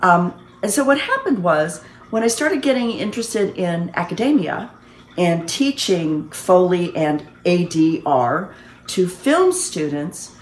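Lower-voiced mature woman in echoey room. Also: big pitch swings, slow pace. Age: middle-aged or older. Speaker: professional Foley artist and teacher. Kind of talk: explanatory interview talk. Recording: echoey, faintly noisy room.

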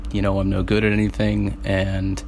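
A man with a low, gravelly voice speaks in a flat, even tone. He talks haltingly and stops to collect himself while answering an interviewer's questions. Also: a little hiss or hum.

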